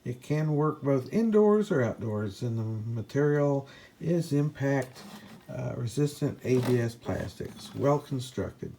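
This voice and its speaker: A man with a mid-pitched voice talks through a gadget review in a casual, unscripted way. His delivery is hesitant and halting, like a hobbyist explaining gear to viewers. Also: expressive, vocal fry, mellow, a little hiss or hum. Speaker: product reviewer and ham radio hobbyist. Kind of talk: casual product review to camera.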